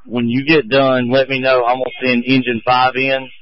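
A man's voice: mid-range voice, monotone, moderate pace. A man speaking as a fire incident commander over a two-way radio at a fire scene. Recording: muffled two-way radio transmission.

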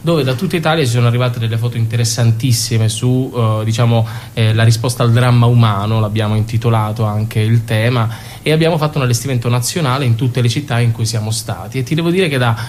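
A man gives an explanatory answer in a radio interview. He is mid-pitched and halting as he searches for words, with faint noise in the recording. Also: a little mellow. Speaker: theatre company member, interview guest.

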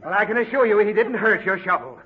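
A man's voice: sits high, lively intonation, fast. An actor playing an exasperated, scolding father in a 1940s radio comedy, fussy and flustered. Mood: stern, weary. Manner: fussy, flustered, sputtering reprimands. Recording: muffled, very noisy 1940 radio recording.

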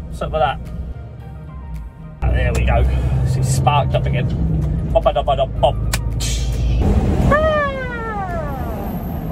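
Chatty trucker, high lively voice. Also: male voice. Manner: chatty, excitable, running commentary to camera. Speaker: truck driver vlogger. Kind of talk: casual driving vlog commentary. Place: truck cab, indoors. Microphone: camera mounted on dashboard. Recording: loud music over voice.

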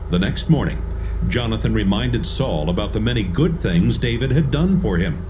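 A man's voice: fairly low pitch, lively intonation, normal speed, noisy background. A man narrating a dramatised Bible story as scripted storytelling. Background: music.

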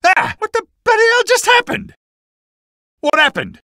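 Raspy Australian sniper mercenary. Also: male voice, deep voice, wildly swooping pitch, slow, bright, forceful tone, no echo, clean studio sound, exaggerated voice. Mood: alarmed shouting. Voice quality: gravelly, raspy. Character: brash gruff Australian male sniper mercenary. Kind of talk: video game character voice lines.

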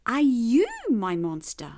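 Female children's storyteller, higher-pitched and hugely expressive, deliberate and emphatic in her read-aloud delivery. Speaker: children's book reader.

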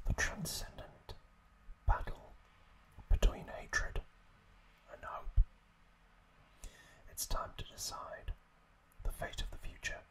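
Slow, whispering male ASMR host. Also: rough recording. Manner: hushed, slow, drawn-out phrasing.

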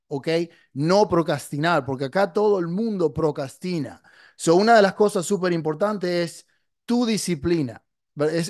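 A man's voice: high-pitched, darkish tone, no echo. A man in his forties, an online marketing coach, giving a blunt, emphatic motivational talk to camera from a small studio on a podcast mic, dropping in English words. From Latin America. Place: small dark studio, indoors. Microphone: podcast mic on boom arm.

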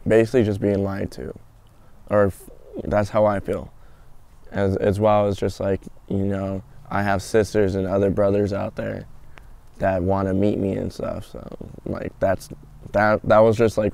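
Man, early twenties, creaky, smooth, flat intonation, casual storytelling, outdoor interview. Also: lowish voice. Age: early 20s. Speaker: documentary interviewee. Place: outdoors in a garden.

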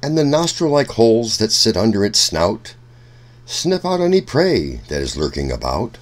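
A man reading a rhyming children's book aloud in a deliberate, sing-song storytelling manner. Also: lowish voice, big pitch swings.

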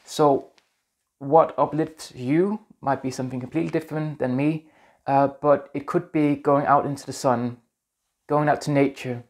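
A man in his thirties from Denmark with a Danish accent, talking earnestly to camera in a small room on a lapel mic, gentle and a little halting. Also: medium pitch, fairly even intonation. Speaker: spiritual vlogger. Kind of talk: casual talk to camera.

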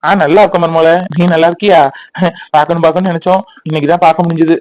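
A man playing a gruff old father-in-law. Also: a little high, flat delivery, very fast, a little mellow, dry recording, close to an everyday voice, poor audio. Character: older father-in-law, gruff but welcoming. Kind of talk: Tamil domestic audio drama.

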